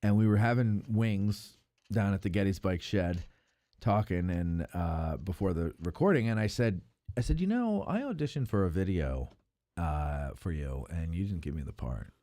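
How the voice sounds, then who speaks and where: A man's voice: deep voice, expressive, moderate pace, dark tone, no echo. A man hosting a podcast in casual chat, with a joking, teasing manner. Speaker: podcast host.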